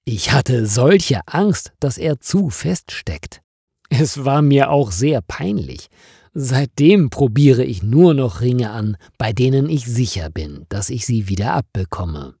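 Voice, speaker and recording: A man's voice: medium pitch, very animated, slow pace, vocal fry, dark tone, slightly rough, no echo, clean studio sound, exaggerated voice. A man voicing an exasperated, puzzled female office worker in emphatic storybook dialogue. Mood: embarrassed, regretful.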